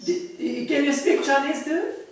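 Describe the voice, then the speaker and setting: A middle-aged man from Tunisia, a native Arabic speaker, chatting in halting, repetitive English with a Tunisian accent. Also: extremely high pitch, heavy reverb, recorded from a distance, low-quality recording. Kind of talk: casual chat.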